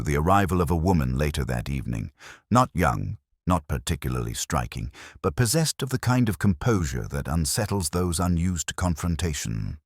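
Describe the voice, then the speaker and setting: A man playing a melancholic, introspective middle-aged police inspector, narrating a detective drama in a measured, literary manner. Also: extremely low pitch, slightly rough, no echo, studio quality, exaggerated voice. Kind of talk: scripted first-person detective drama narration.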